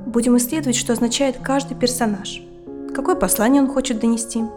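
An actress plays a female psychotherapist in her thirties in an audio drama. Her voice sits a little high and she speaks in a measured, attentive way, steering with questions. Music plays clearly underneath. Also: fairly even intonation, lightly acted. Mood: calm, guiding.

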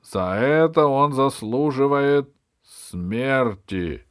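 A man's voice: medium pitch, lively intonation, extremely slow, dry recording, clean studio sound, pitched above the performer's own voice. A man voicing a stern, sanctimonious villain bishop from a children's story, formal and declamatory. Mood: solemn accusing.

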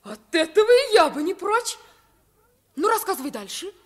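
A woman's voice: extremely high pitch, very animated, moderate pace. A woman playing a sheltered, self-assured young prince who is curious and genteel, in a radio play. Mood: eager, amazed. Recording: vintage 1950s radio play recording.

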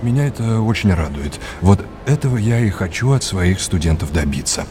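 Polite learned art lecturer guy, low voice, old-fashioned and teachery, audio drama, noisy background. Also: expressive, moderate pace. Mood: engaged, explanatory. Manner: courteous, old-fashioned, didactic. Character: courteous, erudite older male art lecturer and guide. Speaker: actor playing an art lecturer.

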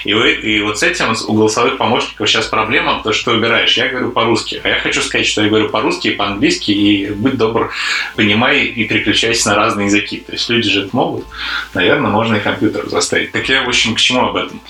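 A man's voice: lowish voice, quick pace, pushed and bright, roomy sound, low-quality recording. A man from a conference program committee talking in a casual panel discussion, thoughtful and hesitant, with many fillers.

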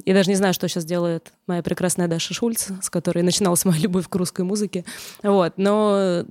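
A woman's voice: on the low side, fairly even intonation, fairly quick, a little mellow, no room sound. A woman chatting casually on a music podcast, joking and playful as she talks. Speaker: podcast co-host. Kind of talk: casual chat.

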